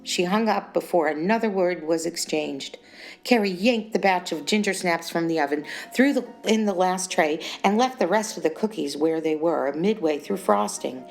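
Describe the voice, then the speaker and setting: A woman's voice: on the low side, normal speed, vocal fry. A woman reading her own short story as scripted audiobook narration. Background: music.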